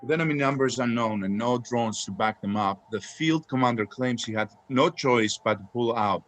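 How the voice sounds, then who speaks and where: A man's voice: medium pitch, moderate pace, fairly bright tone, natural voice. A man playing a dutiful army sergeant at a command post in a screenplay table read, reporting formally and plainly. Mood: matter-of-fact.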